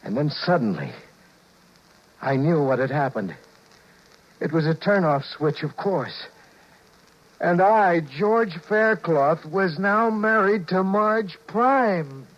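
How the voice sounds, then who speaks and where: An actor playing a guilty, sheepish husband in a 1950s radio drama, halting and earnest as he confesses and narrates. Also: fairly high pitch, lively intonation. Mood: dawning horror. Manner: halting, earnest, stammering in dialogue. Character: guilty, sheepish husband narrating his own marital misadventure. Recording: dull 1950s radio recording.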